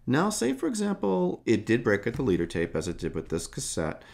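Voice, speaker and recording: A man narrating a hands-on repair tutorial in a casual, unscripted way, explaining step by step in a halting manner. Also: mid-range voice, huge pitch swings, gravelly, a little mellow. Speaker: tutorial host.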